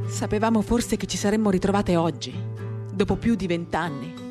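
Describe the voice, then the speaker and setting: A woman reads literary prose as a radio theatre monologue, with faint guitar music behind her. Her voice sits at a medium pitch. She delivers short phrases in a measured, expressive way. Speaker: reader of a novel for radio theatre. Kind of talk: dramatic literary reading, first-person monologue. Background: acoustic guitar.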